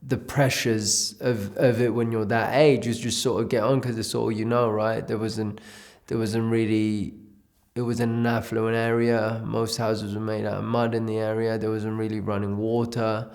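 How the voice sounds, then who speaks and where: A man's voice: on the low side, little pitch movement, normal speed, a little mellow. A man from Ethiopia giving a reflective personal testimony in an interview, telling his life story in a loose, conversational way.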